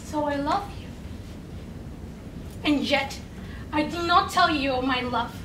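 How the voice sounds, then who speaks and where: High-voiced young woman in slow dramatic reading. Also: far from the mic. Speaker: contest reader. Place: large college event room. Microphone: far camera, standing away from mic. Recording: echoey room recorded from afar, noisy.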